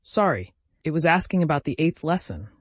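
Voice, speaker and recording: A man's voice: on the high side, big pitch swings, a little fast, no room sound. A man playing a weary, possessed fantasy hero. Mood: weary, frank. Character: exhausted, troubled man possessed by a dark entity.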